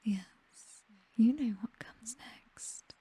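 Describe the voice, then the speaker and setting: Casting: woman to play possessive vampire noblewoman, British accent, soft dark whisper, unhurried, tender yet commanding, for close-mic ASMR roleplay. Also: fairly high pitch, exaggerated voice. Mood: seductive, hungry. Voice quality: breathy, whispery. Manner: slow, tender, teasing, possessive. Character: possessive, doting vampire noblewoman, commanding mistress to her butler. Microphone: close microphone, dry.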